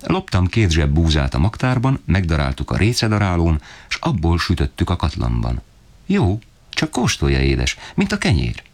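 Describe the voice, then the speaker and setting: A man's voice: sits low, wildly swooping pitch, heavily acted. A man voicing an eager little boy who coaxes and laughs. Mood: coaxing. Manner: coaxing, laughing.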